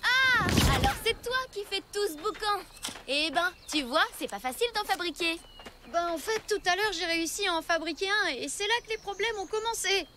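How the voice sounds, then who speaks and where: Extremely high-voiced earnest cartoon boy. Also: expressive, moderate pace, background noise. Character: earnest, exasperated young prehistoric cartoon boy. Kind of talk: French cartoon dub. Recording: loud cartoon music behind the voice.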